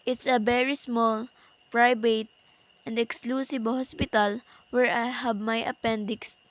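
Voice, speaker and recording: A woman's voice: a little high, no echo, rough recording. A woman playing an anxious, demanding bedridden wife in an amateur radio play, fretful, indignant and dramatic. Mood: anxious, urgent.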